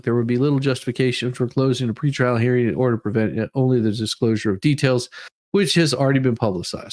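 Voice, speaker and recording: Male streamer, medium pitch, reads documents aloud with loose, informal, repetitive asides. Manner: informal, rambling asides, repeats words. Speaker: livestream host. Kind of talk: dramatic reading of legal documents with casual commentary.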